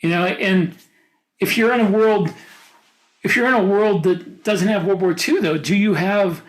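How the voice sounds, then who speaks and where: A man's voice: sits high, a little slow, rough recording. An American man co-hosting a podcast, talking casually and reflectively in a panel discussion. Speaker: podcast co-host.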